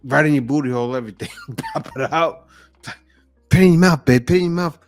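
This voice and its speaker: A man's voice: on the high side, quick pace, faint music behind. A man hosting a reaction video, giving blunt, mocking, teasing commentary in a casual way.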